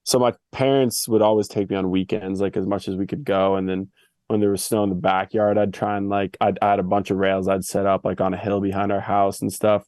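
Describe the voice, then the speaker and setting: A young man who grew up in Switzerland, chatting casually as an interview guest in a relaxed, slangy way full of fillers. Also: on the low side, a little fast, no room sound, clean studio sound. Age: young adult. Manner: laid-back, filler-heavy, slangy. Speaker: guest, Olympic freestyle skier. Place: family home in Switzerland.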